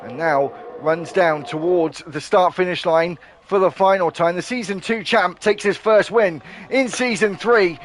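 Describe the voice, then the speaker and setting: A man giving live play-by-play commentary on an online racing league, excitable and quick to correct himself. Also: sits high, slight background noise.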